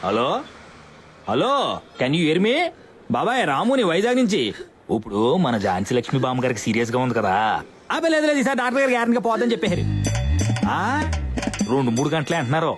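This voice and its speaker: A fussy, chattering relative in a comedy film. He speaks at a breathless rate with lively swings of pitch. He is gossipy and matter-of-fact, over background music. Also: quick pace, long pauses. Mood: hurried, businesslike. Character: fussy, chattering male relative arranging family funeral. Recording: film audio with music underneath.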